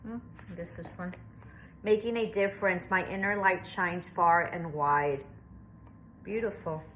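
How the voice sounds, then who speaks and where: A chatty woman with a slightly low voice gives a reassuring tarot reading to camera. She talks casually and thinks aloud as she goes. A faint hum sits behind her voice. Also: measured pace. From Southwestern United States. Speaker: tarot reader.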